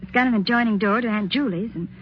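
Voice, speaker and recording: An actress plays an anxious, kindhearted young niece in an old radio play. Her voice sits a little high, earnest and dramatic, on a dull vintage recording. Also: quick pace, slight background noise, natural voice. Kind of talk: 1950s radio drama acting with first-person narration.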